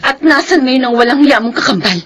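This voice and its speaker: A woman's voice: high-pitched, animated, a little fast, a bit bright. An actress playing a wounded, jilted young woman in a radio drama, speaking tearfully and accusingly. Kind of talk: Filipino radio drama acting.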